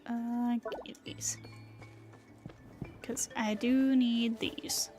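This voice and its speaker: A woman giving casual, unhurried commentary on a gaming stream, thinking aloud as she goes. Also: on the high side, little pitch movement. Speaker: livestreamer. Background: game music.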